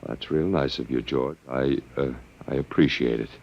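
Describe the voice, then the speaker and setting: An actor playing a resigned but quietly stubborn prisoner in an old radio drama, dry, sardonic and understated. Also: male voice, very deep voice, normal speed. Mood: dry relief. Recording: muffled vintage radio drama recording.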